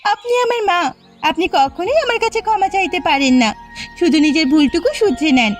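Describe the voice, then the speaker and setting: Woman voicing gentle young cartoon wife, very high voice, plaintive and earnest, long-suffering, music behind. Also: big pitch swings, a little fast. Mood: tender, earnest. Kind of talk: Bengali animated family drama acting.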